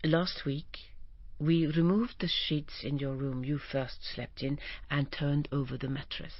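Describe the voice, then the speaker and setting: A woman voicing a kind, gentle housekeeper who speaks sadly, softly and low, on a dull, dry recording. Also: steady tone, a little slow, dry recording. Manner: sad, soft and low.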